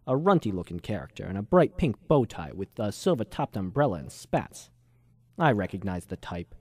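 A man acts as a world-weary, wisecracking ex-newspaperman in a noir radio play. His delivery swings with lively intonation and dry, slangy cynicism, recorded close and dry. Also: mid-range voice, husky, dry recording. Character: cynical wisecracking male ex-journalist, hardboiled noir narrator. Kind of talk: hardboiled first-person noir radio drama narration.

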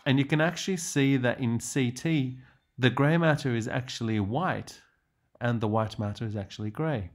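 A man's voice: mid-range voice, moderate pace. A man lecturing on radiology in a didactic way, stressing key terms as he narrates prepared slides.

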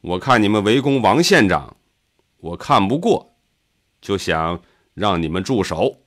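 A man's voice: lowish voice, very animated, unhurried. A man voicing an indignant, plain-spoken farmer in an audiobook, scolding with rhetorical questions. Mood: blunt. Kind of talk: audiobook character voice.

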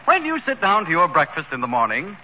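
A man's voice: on the high side, huge pitch swings, a little fast. A man playing a pompous crime-show announcer in a declamatory 1930s radio comedy style. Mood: mock grandiose. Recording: noisy, muffled 1930s radio recording.

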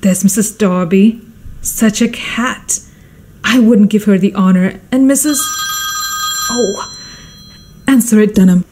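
A woman's voice: mid-range voice, slow pace, pauses often, darkish tone, a little hiss or hum, lightly acted. A woman playing a snobbish, fussy, domineering society mother in a radio drama, with a theatrical, haughty manner. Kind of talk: stage-like radio drama acting.

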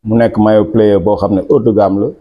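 A man with a Senegalese accent, the club's marketing officer, presenting team merchandise to camera in a steady selling tone. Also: lowish voice, fairly quick, highs cut off. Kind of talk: promotional product presentation. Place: small office indoors.

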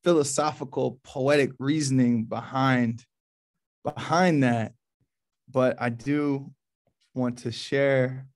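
A man's voice: medium pitch, fairly even intonation, darkish tone. Male curator from New Orleans, thirties, mid-range voice, informal and slangy, feeling his way through sentences. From New Orleans, USA. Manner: laid-back, halting, slangy. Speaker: poetry reading curator. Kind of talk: casual, informal introduction. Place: small office, indoors. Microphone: video call, computer at arm's length.

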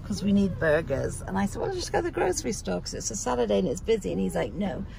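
A woman's voice: mid-range voice, very animated, fast, creaky, mellow, poor audio. A middle-aged woman chatting casually to viewers, recorded on a handheld phone inside a car. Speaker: vlogger. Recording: vehicle noise inside a car.

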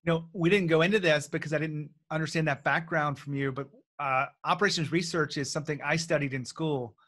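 A man's voice: a little high, steady tone, a little fast, fairly bright tone. A man in his forties hosting a business podcast, talking in an upbeat, direct way from a home office on a studio mic. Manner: upbeat, promotional, direct address. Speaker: podcast host. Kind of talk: podcast intro and interview. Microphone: studio mic on boom arm.

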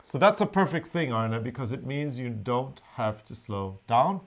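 A man's voice: medium pitch, lively intonation, moderate pace. A middle-aged man co-hosting a knitting channel, chatting playfully to camera in a living room, with the camera on the table. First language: Norwegian. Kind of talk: casual chat to camera.

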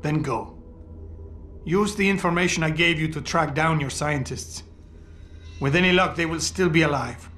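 A man's voice: fairly high pitch, normal speed, long pauses, bright, forceful tone, slight background noise. An actor playing a middle-aged, guilt-ridden, defeated doctor in a video game, brooding and formal in his delivery. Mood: subdued, resigned. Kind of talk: dramatic video game cutscene acting.

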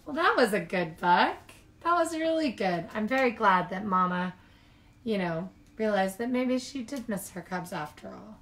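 A woman reading picture books aloud to young children as a storytime host, with animated delivery and chatty asides. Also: mid-range voice, moderate pace.

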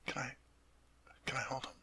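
A man playing a doting young king and husband who stammers and repeats himself when flustered and drops into soft, tender whispers. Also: exaggerated voice. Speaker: ASMR roleplay performer. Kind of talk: ASMR audio roleplay.

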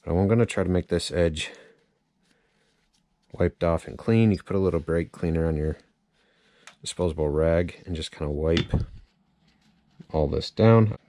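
Very deep-voiced man. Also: moderate pace, long pauses, dark tone. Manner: informal, thinking aloud while working with hands. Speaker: chainsaw repair demonstrator. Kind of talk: casual hands-on tutorial. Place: cluttered indoor workshop at a workbench.

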